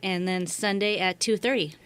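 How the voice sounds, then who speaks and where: A woman's voice: mid-range voice, moderate pace, a bit bright, clean tone, dry recording. Agreeable woman chatting as a radio guest. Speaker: radio interview guest, show producer. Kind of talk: casual interview chat. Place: radio station studio.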